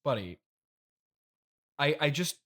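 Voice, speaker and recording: A man's voice: on the high side, very animated, fairly bright tone, heavily acted. A man playing an exasperated, defensive rich CEO father who stammers and repeats himself in an improvised comedy interview. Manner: halting, flustered self-justifying, repeats himself.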